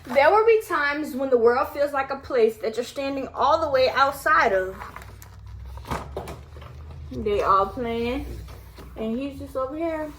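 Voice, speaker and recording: A woman's voice: high-pitched, a bit bright, a little hiss or hum. A woman reading a picture book aloud to her toddler, with casual asides to the child as she goes.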